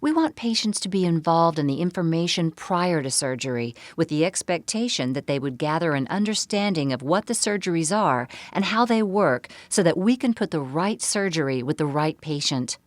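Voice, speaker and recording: A woman giving a scripted, measured explanatory narration for a medical seminar. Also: low-pitched, expressive, no echo. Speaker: presenter of a bariatric surgery program.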